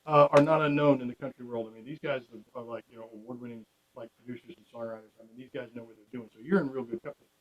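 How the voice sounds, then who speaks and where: A man's voice: medium pitch, fairly even intonation, very fast, low-quality recording. A man chatting casually and enthusiastically as a radio interviewer in a studio.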